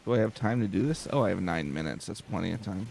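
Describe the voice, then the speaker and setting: Creaky-voiced man doing calm game commentary. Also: deep voice, quick pace, faint music behind. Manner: casual, talks to himself while playing. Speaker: let's play host. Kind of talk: calm, slow-paced gameplay commentary.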